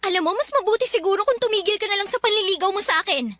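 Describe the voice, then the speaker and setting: An actress playing an irritated, dismissive college student in a radio love drama, curt and exasperated. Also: female voice, extremely high pitch, racing, sharp tone. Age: young adult. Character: irritated, dismissive young female college student rejecting suitor.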